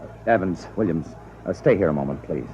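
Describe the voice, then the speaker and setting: A man acting in a 1950s radio drama as a compassionate, authoritative captain, earnest and deliberate, on a muffled old recording. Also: deep voice, lively intonation, dark tone, slight background noise. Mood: grave. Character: compassionate, authoritative male spaceship captain, grave and understanding.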